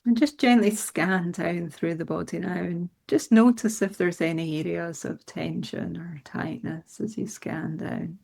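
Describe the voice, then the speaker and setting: A woman leading a guided meditation in a soothing, unhurried manner with gentle instructions. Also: on the low side, creaky.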